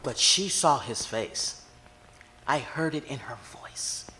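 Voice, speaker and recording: An actress playing a defiant, solemn psychic medium in an audio horror drama, speaking with theatrical emphasis. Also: female voice, extremely low pitch, expressive, slow, bright, forceful tone, a little hiss or hum, full character voice. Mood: grave, intent. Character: Southern woman psychic medium, defiant and solemn, aiding investigators.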